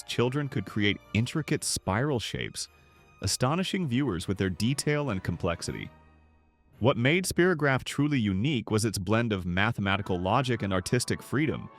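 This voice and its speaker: Low-voiced man narrating with lively intonation. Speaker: narrator of a nostalgia video. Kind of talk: scripted narration.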